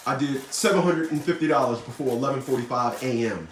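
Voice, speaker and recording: A man's voice: medium pitch, quick pace, roomy sound, recorded from a distance, a little hiss or hum. A man in his fifties talking casually to the camera, blunt and boastful, swearing and repeating himself. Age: 50s. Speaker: vlogger.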